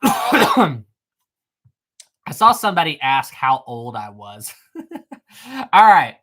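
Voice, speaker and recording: A man in his thirties streaming live, chatting casually with viewers and reading their comments, playful and teasing, laughing often and clearing his throat now and then. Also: fairly high pitch, big pitch swings, slow pace, fairly bright tone. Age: 30s.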